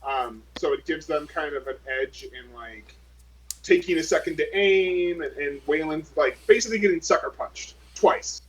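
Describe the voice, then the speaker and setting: A man playing a tabletop game, talking casually and pitching ideas to the group. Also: normal speed, husky, low-quality recording.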